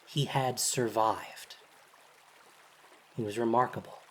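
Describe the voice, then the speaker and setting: A narrator with a husky, breathy voice reads a dramatic story in measured, solemn, deliberate phrases. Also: medium pitch, steady tone, slight background noise.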